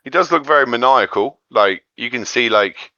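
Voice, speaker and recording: Male co-host: low-pitched, sharp-edged voice, halting casual chat, dry lo-fi recording. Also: dry recording. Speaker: co-host of an anime discussion.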